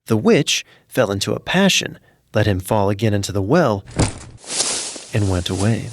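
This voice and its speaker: Low, expressive male storyteller. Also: normal speed, no room sound, clean studio sound. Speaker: narrator. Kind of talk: scripted fairy-tale narration.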